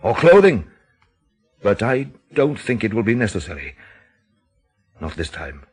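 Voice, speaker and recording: An actor in a radio drama playing a grave, intense man who speaks in an emphatic, deliberate, theatrical way. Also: lowish voice, very animated, husky, heavily acted. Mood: urgent. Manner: emphatic, dramatic, deliberate phrasing with rhetorical questions. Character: grave, intense countryside man expounding a dreadful theory.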